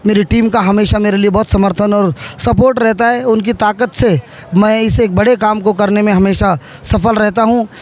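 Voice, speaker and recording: High-pitched man in his thirties. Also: steady tone, moderate pace. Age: 30s. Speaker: politician, interviewee. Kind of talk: interview answers.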